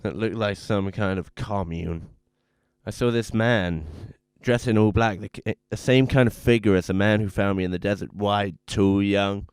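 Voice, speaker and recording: A man playing a troubled, hard-drinking man who tells his own story in halting, haunted phrases for a radio drama. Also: on the low side, fairly even intonation, clean tone, no room sound, clean studio sound, close to an everyday voice. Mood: urgent recollection. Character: troubled, drunken man confessing his ruin. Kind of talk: dramatic first-person radio drama monologue. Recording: music playing behind the voice.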